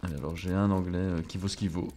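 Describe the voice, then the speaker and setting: Low-voiced French gamer, chatty and wry. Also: male voice, steady tone, quick pace, mellow, slight background noise. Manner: chatty, self-mocking, swears under breath. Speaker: gaming streamer. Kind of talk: casual let's play commentary.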